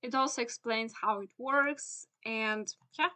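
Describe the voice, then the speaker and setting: A young woman with a Ukrainian accent, a native Ukrainian speaker, walking through a software tutorial in halting English full of "uh"s. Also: fairly high pitch. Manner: many filler "uh"s, halting non-native phrasing. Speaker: SEO instructor. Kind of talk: screen-share tutorial walkthrough.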